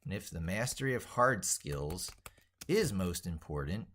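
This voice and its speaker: A man with a fairly low voice talks through an opinionated tech monologue as an educator. He states things emphatically, then repeats and reworks the wording as if composing it out loud. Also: expressive, a little slow. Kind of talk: drafting and reading aloud an opinionated monologue while typing.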